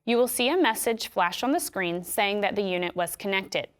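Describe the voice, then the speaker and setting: Woman w/ american accent doing a scripted how-to, clear measured reading. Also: mid-range voice, expressive, a bit bright. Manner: clear, measured explanatory delivery. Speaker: instructional video narrator. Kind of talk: scripted instructional narration.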